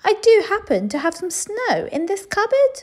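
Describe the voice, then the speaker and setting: Male castle cook for a storybook, woman performing: helpful, eager, high-pitched, lively swoops. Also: moderate pace, heavily acted.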